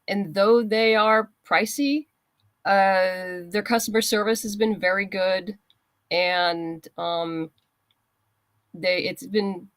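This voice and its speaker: A woman guest in a casual interview, chatting in a halting way as she thinks aloud. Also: lowish voice, a bit bright, dry recording. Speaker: interview guest, comics writer and creator.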